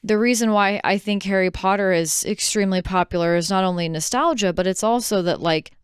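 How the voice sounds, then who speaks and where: Woman, crisp smooth voice, dry close sound, casual podcast co-host, incredulous, politely opinionated. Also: mid-range voice, brisk, no echo.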